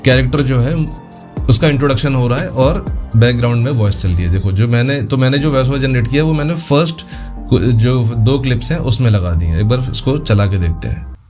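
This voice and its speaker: A man's voice: mid-range voice, a little fast. Informal male tutorial host over music. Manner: informal, explanatory, thinking aloud while demonstrating. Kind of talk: casual step-by-step software tutorial.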